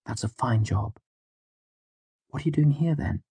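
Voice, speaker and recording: A male narrator plays a worldly storybook woman, lifted well up in pitch and husky. She is matter-of-fact and arch, scolding hard but caring underneath. The sound is close and dry. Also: fairly even intonation, normal speed, dark tone, dry recording. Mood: matter-of-fact, gentle. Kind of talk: audiobook character dialogue.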